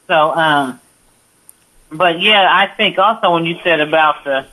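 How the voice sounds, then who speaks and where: Slow, bright-voiced American woman calling in. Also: low-pitched, poor audio. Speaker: call-in participant. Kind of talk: casual discussion.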